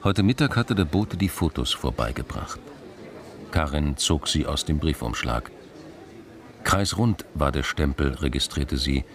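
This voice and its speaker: A man with a low voice narrates a radio crime drama. The delivery is scripted, literary storytelling.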